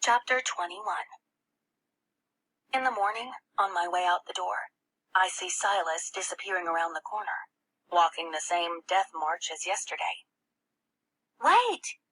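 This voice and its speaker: Bright, breathy young schoolgirl narrator. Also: lowish voice, a little slow, pauses often, full character voice, poor audio. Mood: urgent calling. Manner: expressive, dramatic reading with hushed passages. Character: earnest, tender young schoolgirl narrating her own story. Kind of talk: first-person audiobook narration.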